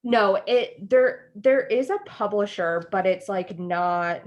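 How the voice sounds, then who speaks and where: A woman's voice: mid-range voice, slow pace. An American woman co-hosting a podcast in casual, friendly chat, informal and giggly, wandering off into asides. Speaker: podcast co-host.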